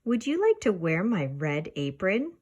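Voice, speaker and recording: A patient storybook mama, voiced by a woman reader, speaking slowly in a buttery voice. She gently explains and encourages her young daughter. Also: mid-range voice, huge pitch swings. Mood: fond, gentle.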